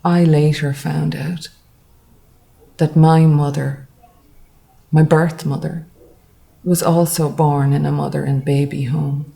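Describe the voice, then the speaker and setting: An actress playing a wounded older woman recalling a harsh childhood in a halting, sombre testimony. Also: sits low, little pitch movement, vocal fry, dark tone, heavily acted. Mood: sombre, hurt. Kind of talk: dramatised first-person testimony monologue.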